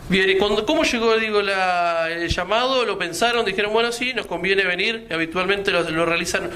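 A man's voice: high-pitched, normal speed, pushed and bright, a little hiss or hum. A young man with an Argentine accent, hosting a local TV news interview in a studio and asking questions in a conversational way. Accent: Argentine Spanish. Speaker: TV news host.

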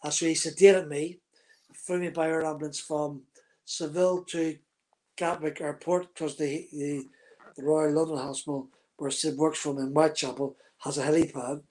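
A husky-voiced man in his fifties tells his story in close detail, gesturing as he goes. His voice sits a little high for a man. He is speaking into a webcam on a video call from a room at home. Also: little pitch movement, moderate pace, darkish tone, low-quality recording. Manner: vivid, detailed storyteller, talks with his hands. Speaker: guest, former racing driver.

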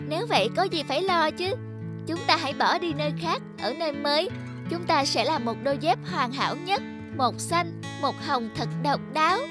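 Woman as a high-pitched coaxing young slipper girl. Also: normal speed, over music, lightly acted. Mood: eager, coaxing. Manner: plaintive, coaxing. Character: vain, scheming young female pink slipper, sweetly pleading. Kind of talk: animated moral tale dialogue.

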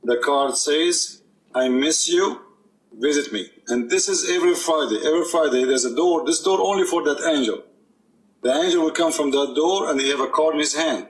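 A man's voice: a little high, brisk, rough recording. A man giving mocking religious commentary, sarcastic and repeating phrases in disbelief. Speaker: commentator reacting to a played video.

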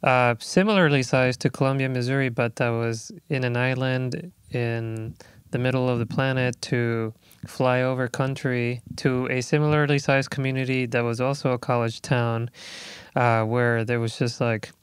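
A man's voice: mid-range voice, flat delivery, normal speed, smooth, dry recording. A man in his thirties from Puerto Rico, a musician speaking as a guest in a recording studio, thoughtful and reflective with frequent fillers. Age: 30s. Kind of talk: casual interview talk.

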